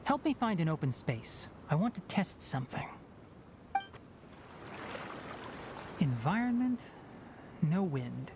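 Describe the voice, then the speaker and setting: A man's voice: a little high, sing-song, moderate pace, noisy background. An actor voicing a young, fox-eared male forest ranger in a video game, who talks in a precise, explanatory way. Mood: focused. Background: game music.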